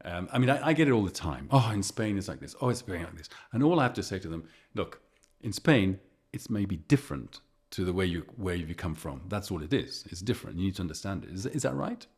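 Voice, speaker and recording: A man's voice: deep voice, big pitch swings, fairly quick, husky. A man hosting a podcast interview, chatty and easygoing, often restarting words mid-question. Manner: chatty, repeats words, laughs easily. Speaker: podcast host. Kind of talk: casual interview.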